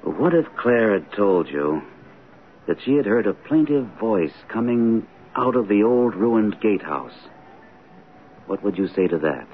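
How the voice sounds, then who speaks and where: A gentle, concerned father in a radio drama. His voice sits on the low side and moves expressively, deliberate and earnest. It comes through tinny and slightly noisy, like an old broadcast. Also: big pitch swings. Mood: worried, probing. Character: concerned, patient father, imaginative and open-minded.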